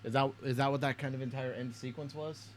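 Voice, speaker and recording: A man's voice: medium pitch, fairly even intonation, fast talker, slight background noise. A man hosting a casual reaction video, talking bluntly and swearing a lot. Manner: blunt, heavily profane.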